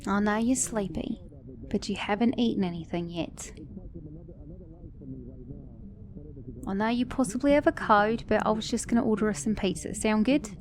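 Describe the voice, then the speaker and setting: A woman's voice: medium pitch, brisk, lightly acted. A woman with an Australian or British accent playing a sassy, caring mechanic girlfriend in an ASMR roleplay, speaking emphatically and affectionately to a partner. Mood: soft, caring. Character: sassy, caring female mechanic girlfriend, scolding then tender. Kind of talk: ASMR roleplay audio drama.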